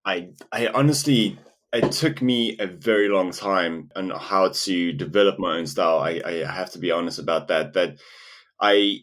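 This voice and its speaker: A man's voice: deep voice, normal speed, fairly bright tone. A man from South Africa, English not his first language, answering interview questions in a hesitant way with many restarts. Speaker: illustrator and animator guest. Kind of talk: casual interview.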